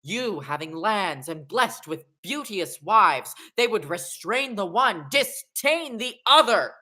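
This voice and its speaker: A woman's voice: medium pitch, very animated, slow pace, sharp tone, heavily acted. A young woman playing a murderous, guilt-haunted king in a Shakespearean radio play, with theatrical, declamatory delivery. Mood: defiant, rousing. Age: young adult. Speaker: acting student.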